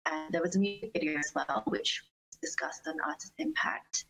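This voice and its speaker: A woman's voice: low-pitched, animated, fairly quick, fairly bright tone, poor audio. A woman with an Australian accent, chatting casually and earnestly as an enthusiastic interview guest. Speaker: interview guest, singer-songwriter.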